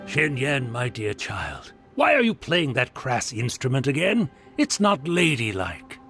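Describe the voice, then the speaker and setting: A man's voice: medium pitch, wildly swooping pitch, a little slow, a bit bright, faint music behind, full character voice. An actor voicing a stuffy, old-fashioned older townsman who talks in a pompous, disapproving way.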